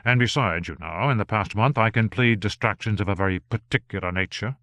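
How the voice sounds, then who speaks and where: A man reading an audiobook, voicing a polite male gentleman magician who asks questions mildly. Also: lowish voice, brisk, no echo.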